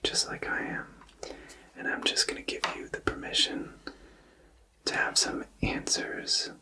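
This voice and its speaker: A man whispering in a gentle, polite, guiding way as a tarot reader in an ASMR-style reading. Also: a little slow, recorded from a distance, poor audio.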